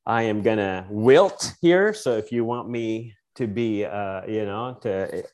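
A man with a deep, low voice talks through his memories in a relaxed interview. He circles back often, repeats words and fills pauses as he thinks aloud. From Philippines. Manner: reflective, storytelling, many restarts and fillers. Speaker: guest. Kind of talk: casual interview conversation.

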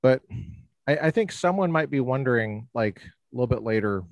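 A male podcast host who talks in an energetic, rambling stream and likes to tease his guest. His voice sits at an ordinary middle pitch, and the sound is close and dry with no room echo. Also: moderate pace. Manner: animated, rambling, playful teasing. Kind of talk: casual interview chat.

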